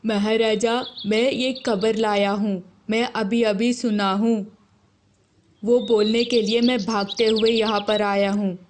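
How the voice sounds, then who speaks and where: Woman as an earnest, slow-talking wolf messenger. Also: medium pitch, steady tone, darkish tone, natural voice. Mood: grave. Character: male wolf messenger bringing grave warning to king. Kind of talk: animated children's story character.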